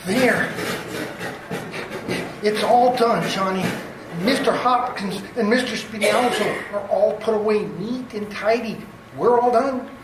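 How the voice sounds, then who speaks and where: A woman's voice: medium pitch, takes it slowly, natural voice. A woman playing a nervous, pleading doctor, a killer's timid accomplice, in an old-time radio play, hesitant and stammering. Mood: anxious, pleading. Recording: distant, roomy, slightly noisy recording.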